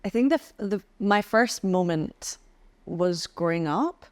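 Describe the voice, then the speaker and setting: A woman from the UK, a podcast guest, speaking thoughtfully with frequent pauses and restarts. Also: medium pitch, expressive, no room sound. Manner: thoughtful, hesitant with many ums and restarts. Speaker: podcast guest, head of communications. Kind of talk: casual interview chat.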